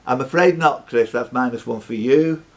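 A man's voice: medium pitch, normal speed, a little hiss or hum. A man in his fifties hosting a live pub quiz, reading out questions with jokey, teasing banter. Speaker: quiz master. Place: social club bar room.

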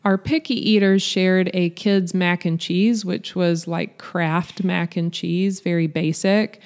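A woman with a fairly low voice narrates a restaurant review. She talks evenly and conversationally, in a matter-of-fact way. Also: fairly even intonation, slow, darkish tone. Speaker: vlog host, restaurant reviewer. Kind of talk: scripted voice-over review.

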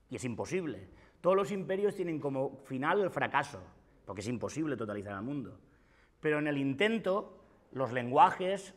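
A man in his forties speaks Spanish with a Valencian accent, lecturing students in a classroom with emphatic, repeated points. His voice sits somewhat high for a man. He is picked up by a camera across the room. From Valencia, Spain. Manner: emphatic, gesturing, often repeats himself. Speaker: philosophy lecturer. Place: classroom with whiteboard. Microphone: far camera.

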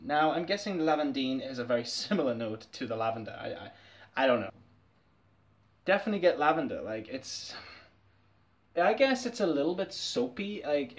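Chatty man reviewing a fragrance. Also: medium pitch. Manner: chatty, candid, laughs often. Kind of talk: casual review vlog. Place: small dim bedroom, indoors.

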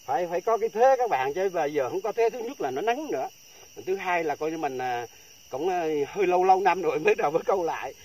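A man's voice: high voice, animated, fast talker, darkish tone. A middle-aged man chatting jokingly to viewers from a pond bank, often repeating his words, picked up by a distant camera. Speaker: angler in a friendly contest, addressing viewers. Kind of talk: casual joking chat to viewers. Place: outdoors on a grassy pond bank. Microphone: camera a few metres away. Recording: distant outdoor camera, faint background noise.

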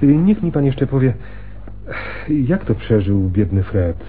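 A man's voice: mid-range voice, sing-song, unhurried. An actor playing a chatty, gossipy male pawnbroker in a radio play, garrulous and fond of telling stories. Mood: confiding. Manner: garrulous, chuckling storyteller. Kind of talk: Polish radio play acting. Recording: muffled, phone-like noisy radio recording.

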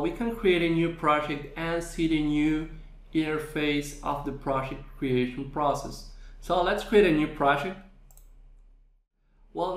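A man with a fairly high voice walks through a software tutorial at an unhurried pace, pausing on fillers as he explains. His voice sits in an echoey room, recorded for a screen demo. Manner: slow, explanatory, with frequent fillers.

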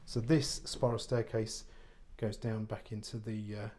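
A man's voice: fairly low pitch, flat delivery, measured pace, warm tone. Chatty man touring a warehouse. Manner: chatty, many fillers and restarts. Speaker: estate agent giving a property tour. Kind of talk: unscripted walk-through tour. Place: large warehouse flat, indoors. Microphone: handheld phone camera, speaker off screen.

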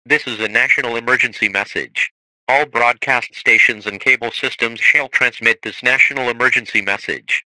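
A man's voice: mid-range voice, normal speed, pushed and bright, no echo, rough recording. A synthetic male text-to-speech voice reading scripted emergency broadcast announcements as an alert announcer.

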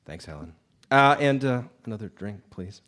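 Man playing a weary, callous boss, swinging pitch. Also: lively intonation, normal speed, noisy background, close to an everyday voice. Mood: resigned. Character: callous, weary boss coolly abandoning his employee. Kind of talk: live radio drama acting.

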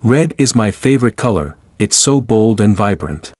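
A man with a somewhat low voice reads short answers for a language lesson, each line carefully paced. The sound is dry and close, with no room around it. His delivery stays clear and even. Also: no echo. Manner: clear, even, deliberate reading. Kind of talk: slow repeated example sentences for learners.

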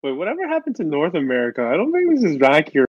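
A man's voice: fairly high pitch, huge pitch swings, fast talker, breathy edge, no room sound, muffled recording. A man improvising a comedy fandub in a jokey, rambling way, often repeating phrases.

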